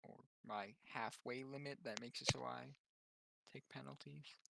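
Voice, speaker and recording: A man's voice: mid-range voice, fairly even intonation, a little slow, creaky, very noisy. A man playing in a tabletop roleplaying game, chatting casually and musing aloud about his choices. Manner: musing, mutters while searching. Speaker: player.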